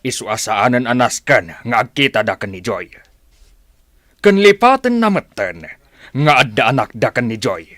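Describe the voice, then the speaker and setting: An actor playing a stern, disapproving father in a radio drama, forceful and scolding. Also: mid-range voice, very animated, moderate pace, no room sound, clean studio sound. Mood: stern, angry.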